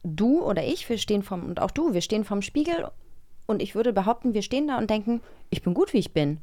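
A woman co-hosting a podcast in casual, animated conversation. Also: medium pitch, fast talker. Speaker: podcast co-host.

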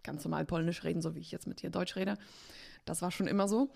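A woman's voice: lowish voice, fairly even intonation, fast talker, creaky, darkish tone. A woman from Poland and eastern Germany, a guest in a casual podcast chat, talking candidly and laughing often. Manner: chatty, candid, laughs often, many fillers. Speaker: podcast guest, agency co-founder.

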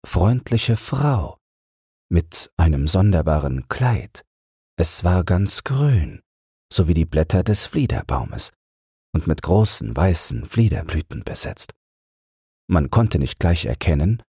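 Male audiobook reader for sleep stories: low, husky and breathy, slow and soothing, with lively intonation. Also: dry recording, studio quality. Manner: calm, soothing, measured. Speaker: audiobook narrator. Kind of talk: bedtime fairy tale narration.

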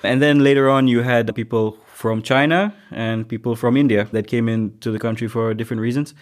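A man's voice: medium pitch, fairly even intonation, brisk. A young man from Malaysia, an international student, reflecting aloud in a casual interview chat with frequent restarts.

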